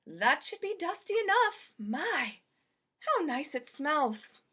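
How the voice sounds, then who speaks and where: Woman playing a high, swooping, cheerful storybook housemaid. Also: sing-song, sharp tone, full character voice. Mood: delighted. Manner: exclamatory, folksy, drawn-out emphasis. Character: cheerful, eager, literal-minded housemaid woman.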